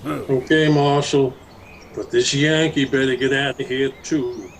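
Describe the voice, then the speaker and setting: A man's voice: mid-range voice, fairly even intonation, measured pace, close to an everyday voice, rough recording. A man playing a surly, folksy bully's sidekick in an Old West radio drama. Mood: surly, threatening. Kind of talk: old-time radio Western acting.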